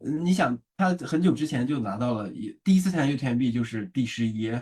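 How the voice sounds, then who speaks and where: Fast-talking husky male host. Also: medium pitch, expressive, warm tone. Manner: stammers and repeats words, asks long questions. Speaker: podcast host. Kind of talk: casual interview chat.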